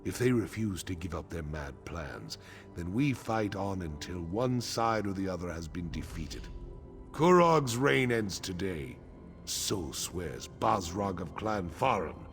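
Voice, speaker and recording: An actor playing a grim, resolute orc clan chief in a fantasy video game, gruff and solemn with emphatic delivery. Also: male voice, deep voice, very animated, a little slow, faint music behind, exaggerated voice. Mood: grim resolve. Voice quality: gravelly.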